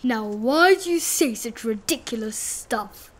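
A woman voicing a big, grumpy male bear from a children's storybook, gruff, scornful and challenging. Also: on the high side, lively intonation, a little slow. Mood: gruff, dismissive. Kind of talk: children's storybook character reading.

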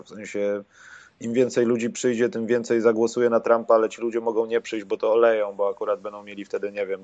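A man's voice: on the low side, no room sound. Sardonic male host on a dull, dry mic. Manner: sardonic, joking asides. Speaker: podcast host. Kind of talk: casual chat.

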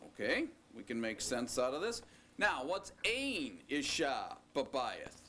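Slow, bright-toned male teacher. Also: mid-range voice, wildly swooping pitch, slight background noise. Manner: slow, deliberate, with joking asides. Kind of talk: recorded classroom lecture.

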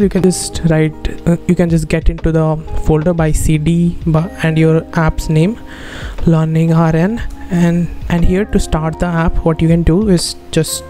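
Male, twenties, casual tutorial host, mellow and fairly even delivery, conversational check-ins. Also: a little high. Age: 20s. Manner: informal, often tagging statements with "right?". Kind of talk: casual spoken screen-recorded coding tutorial. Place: indoor room at a computer.

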